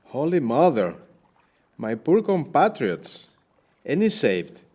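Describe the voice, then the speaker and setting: A man's voice: medium pitch, sing-song, takes it slowly, darkish tone. A man playing a weary, plaintive shipwrecked Spanish sea captain in an audio play, speaking in formal, archaic phrases. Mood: grieving. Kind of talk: audio play acting, first-person account.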